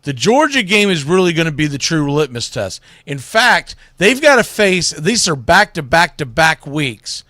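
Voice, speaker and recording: A man's voice: fairly high pitch, sing-song, moderate pace, few pauses, pushed and bright, no echo, studio quality. A man hosting sports radio, giving opinionated college football commentary in a blunt, emphatic, colloquial way.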